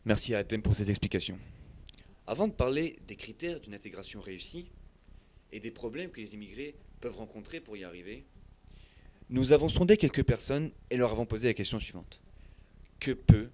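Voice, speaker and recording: A man's voice: lowish voice, animated, fairly quick, warm tone. A man presenting a student news programme as anchor, in a formal scripted style.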